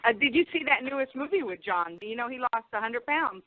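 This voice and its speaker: A woman's voice: on the high side, animated, brisk, dry recording, phone-quality sound. A woman co-hosting a casual interview, chatting and asking questions in a conversational way. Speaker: co-host interviewer.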